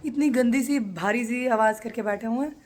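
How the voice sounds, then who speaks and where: A woman's voice: a little high, normal speed, a little mellow, a little hiss or hum. A woman chatting to her phone camera at home for a daily family vlog, teasing her family as she goes. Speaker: vlogger. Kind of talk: casual vlog chat.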